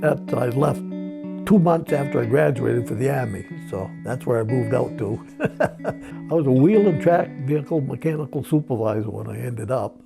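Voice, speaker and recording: A man's voice: mid-range voice, lively intonation, moderate pace, gravelly. A man, reminiscing in an interview with dry humor. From Maine, USA. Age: 70s. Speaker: grandparent being interviewed. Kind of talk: personal reminiscence. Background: acoustic guitar music.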